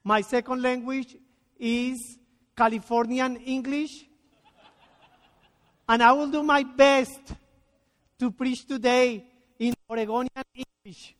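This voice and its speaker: Slow-paced preacher in a church. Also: male voice, extremely high pitch, steady tone, a bit bright. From Colombia. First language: Spanish. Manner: earnest, deliberate, reads scripture slowly with emphasis. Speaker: guest preacher. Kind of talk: sermon. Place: church sanctuary. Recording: reverberant church sanctuary.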